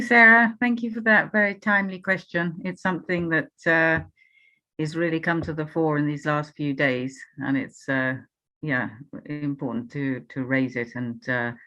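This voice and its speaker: A woman hosting an online question session, thanking and relaying questions in a measured, somewhat hesitant way. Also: low-pitched, steady tone, fairly bright tone. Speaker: host, moderator. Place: online call. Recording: slightly muffled online call audio.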